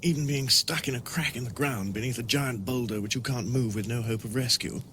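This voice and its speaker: A man's voice: mid-range voice, fairly quick, fairly bright tone, close to an everyday voice. An actor playing a mild, bewildered Englishman with an English accent, plaintive and earnest, in a comic radio drama. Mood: glum, incredulous. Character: bewildered, mild-mannered Englishman stranded in time. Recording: noisy sound-effect backdrop.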